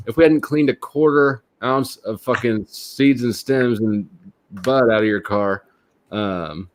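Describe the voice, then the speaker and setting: A man's voice: medium pitch, slow. A man co-hosting a casual livestream, blunt and teasing, with plenty of swearing. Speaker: livestream co-host.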